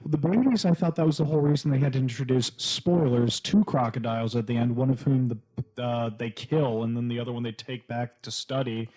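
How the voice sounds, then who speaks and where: A man's voice: mid-range voice, fairly quick, few pauses, mellow, poor audio. A man co-hosting a casual movie podcast, wry and laid-back as he walks through a plot. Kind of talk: casual movie-review banter.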